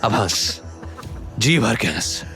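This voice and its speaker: A man's voice: fairly low pitch, very animated, takes it slowly, creaky, music in the background, full character voice. A man voicing a confident, menacing male action hero in an audio drama, taunting and threatening without hurry. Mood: mocking.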